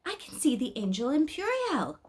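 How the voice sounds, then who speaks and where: Female reader as earnest little boy in a storybook, high, eager, insistent, lively intonation. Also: normal speed, a little hiss or hum. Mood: excited. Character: excitable, earnest young boy. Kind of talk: storybook read-aloud.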